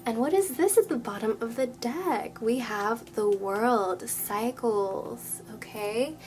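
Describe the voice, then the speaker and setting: A woman with a slightly nasal, congested voice giving a spoken tarot reading to her viewers, earnest and soothing, often dropping into a hushed whisper. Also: fairly high pitch, takes it slowly. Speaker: tarot reader. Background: background music.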